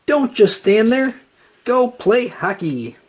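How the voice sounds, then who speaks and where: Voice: medium pitch, very animated, takes it slowly, slightly rough, voice pushed up, full character voice. A storyteller voicing a blustering, greedy male crab who owns a restaurant, in a children's storybook, with a proud, bellowing manner. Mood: impatient. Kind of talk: children's storybook read-aloud.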